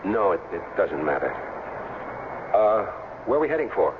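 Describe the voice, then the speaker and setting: A man's voice: low voice, big pitch swings, moderate pace, background noise. A man acting a wry, curious ex-football player who narrates and talks in a casual way in a 1970s radio drama. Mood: curious, probing. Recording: tinny vintage radio over music.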